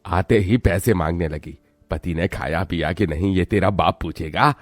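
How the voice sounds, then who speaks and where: A man's voice: low voice, normal speed, no echo. A man voicing an arrogant, drunken gambler husband with a swaggering, demanding manner in a cartoon story. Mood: scornful.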